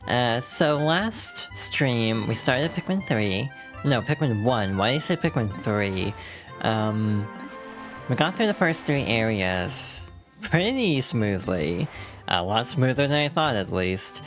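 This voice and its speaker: A VTuber streamer with a hoarse, froggy voice from allergies, chatting casually while playing a game and laughing at their own slips. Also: medium pitch, very animated, slow, music in the background. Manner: chatty and self-deprecating, many fillers, laughs through words.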